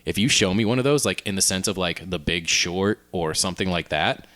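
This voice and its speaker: Low-voiced man in casual podcast chat. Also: expressive, fairly quick, fairly bright tone. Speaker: podcast co-host. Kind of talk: casual chat, pitching ideas among friends.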